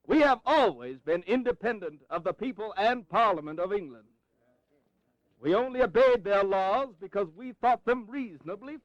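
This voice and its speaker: An actor playing a dryly reasoning colonial delegate, giving measured formal oratory in a historical audio drama. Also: male voice, high-pitched, no echo. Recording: dull, close 1980s drama recording.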